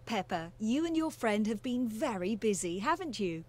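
An actress playing a kindly, gently teasing mother pig in a children's cartoon game. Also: on the high side, very animated, moderate pace.

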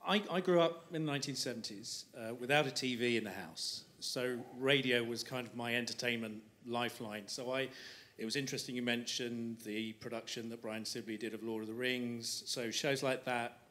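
A man's voice: medium pitch, steady tone, moderate pace, fairly bright tone, slight background noise. A middle-aged man asking a spontaneous, somewhat roundabout question from an audience. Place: large lecture theatre.

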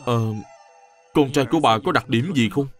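An actor playing an upright, kindly young scholar in an animated drama, speaking earnestly. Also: male voice, mid-range voice, normal speed, faint music behind, lightly acted. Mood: gentle. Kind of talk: animated drama dub acting.

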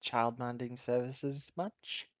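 A woman's voice: extremely low pitch, steady tone, slow pace, dry recording, muffled recording. Female player, weary and understated, casual role-play chat, close dry sound with dulled top end.

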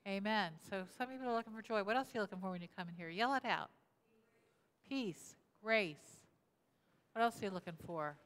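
Woman pastor in her sixties, smooth voice, interactive sermon at a lectern in a large echoing sanctuary. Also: mid-range voice, a little fast, fairly bright tone. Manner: asks the congregation questions and repeats their answers. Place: church sanctuary, indoors, at a lectern. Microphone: lectern mic, camera at mid distance. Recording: reverberant church hall.

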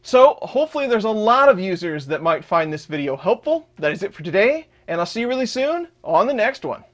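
A man's voice: sits high, a little fast. Man walking through a tech setup. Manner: steady step-by-step explaining. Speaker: tech channel host. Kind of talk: screen-recorded tutorial walkthrough. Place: home workshop.

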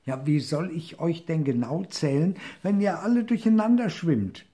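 A man voicing a cheeky, playful little deer who teases and tricks, calling out in a high, gleeful voice in a storybook reading. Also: level tone, very slow, dark tone. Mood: cheerful. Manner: high, gleeful, teasing calls.

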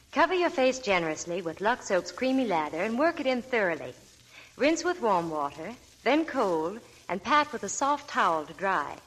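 A woman's voice: mid-range voice, a bit bright, low-quality recording. A woman giving a scripted 1940s radio advertisement as a Hollywood reporter.

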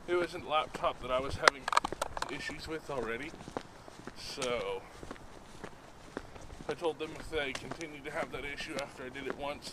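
A raspy-voiced man in his thirties vlogs from a handheld camera as he walks along a road, rambling in a self-deprecating way. His voice sits a little high for a man, and loud wind and traffic noise run underneath the outdoor recording. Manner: rambling, self-deprecating, walking while talking. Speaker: vlogger. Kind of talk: casual daily vlog. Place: outdoors by a road and parking lots. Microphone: handheld camera at arm's length.

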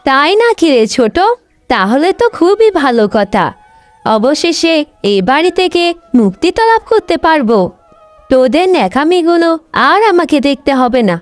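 A woman's voice: sits high, big pitch swings, moderate pace, no room sound. A woman voicing a selfish, scornful young elder sister in a cartoon story, snappy and disdainful. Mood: gloating, scornful. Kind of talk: Bengali cartoon story dubbing. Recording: dry, music bed under the voice.

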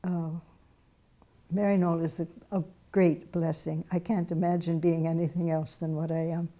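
Low, mellow older woman. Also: little pitch movement, normal speed. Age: 80s. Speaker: retired nun. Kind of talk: documentary interview. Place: indoor room with bookshelves.

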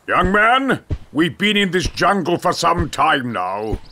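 An actor playing a scornful middle-aged gentleman hunter and villain in a game, with a British accent and pompous, disdainful delivery. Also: fairly high pitch, sing-song, takes it slowly, a little hiss or hum, heavily acted. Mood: condescending. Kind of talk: video game cutscene acting.

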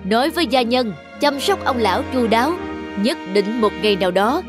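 A woman's voice: a little high, lively intonation, moderate pace, over music, close to an everyday voice. A woman voicing a wealthy, proud male landlord who loves tea in a cartoon folktale, talking in a commanding, eager way. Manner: commanding, eager, addresses servants curtly. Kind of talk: dubbed cartoon folktale acting.

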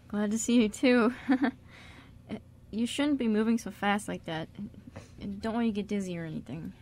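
A woman acting a shy, caring, awkward man in a radio drama, speaking haltingly. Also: mid-range voice, darkish tone, close to an everyday voice. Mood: tender, awkward. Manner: hesitant, halting, with little laughs.

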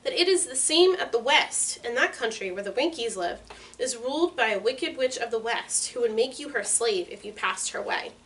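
A woman's voice: fairly high pitch, expressive, normal speed, fairly bright tone. A woman reading as small solemn Munchkin men. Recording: slightly distant mic, faint hiss.

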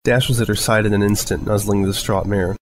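A man narrates fiction in a fairly low voice. He reads as a storyteller from a script. Some background noise sits behind him. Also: steady tone, quick pace.

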